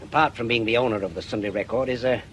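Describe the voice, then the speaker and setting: A man's voice: mid-range voice, fast, fairly bright tone, a little hiss or hum, natural voice. An actor playing an older, embittered retired policeman in a radio drama, with a blunt, insistent way of talking. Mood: grim, urgent.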